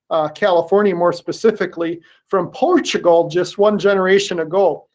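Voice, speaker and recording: A livestream host reads out a prepared introduction and then asks a guest questions. The delivery is smooth and steady, at a middle pitch.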